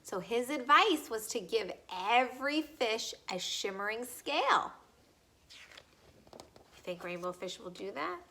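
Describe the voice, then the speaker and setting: Female read-aloud narrator for children: bright, fairly high voice, slow expressive storytelling. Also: slight background noise.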